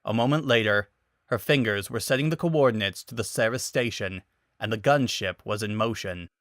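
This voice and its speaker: A man's voice: fairly low pitch, normal speed, a bit bright, dry recording, clean studio sound. A man giving a dramatic scripted narration of a story.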